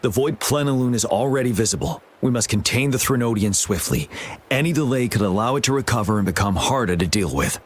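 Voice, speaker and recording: A man's voice: mid-range voice, animated, brisk, natural voice. A man playing a stern, resolute military general in a game, speaking in a grave, formal, commanding manner. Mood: grave, urgent. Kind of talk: scripted game dialogue. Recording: background music.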